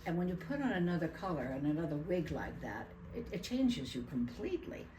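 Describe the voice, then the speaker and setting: An older actress from New York tells animated stories about her roles in a casual interview, with laughing asides. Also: low voice, a little fast, slight background noise. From USA (New York). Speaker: guest actress. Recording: roomy echo.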